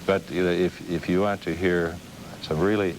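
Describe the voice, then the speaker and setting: A man hosting a conversational TV interview, speaking in a halting, musing way. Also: sits low, steady tone. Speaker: TV interview host. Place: television studio. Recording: noisy old TV broadcast recording.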